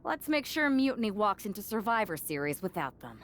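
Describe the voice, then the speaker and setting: A woman's voice: mid-range voice, big pitch swings, brisk. A woman playing a composed, strategic pro wrestler in a video game cutscene, decisive and matter-of-fact. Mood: calculating.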